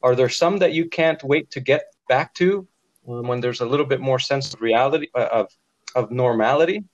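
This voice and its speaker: A man hosting a conversational radio interview, wordy and rephrasing as he goes, on a dull low-fidelity recording. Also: moderate pace, no echo. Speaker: radio podcast host, interviewer.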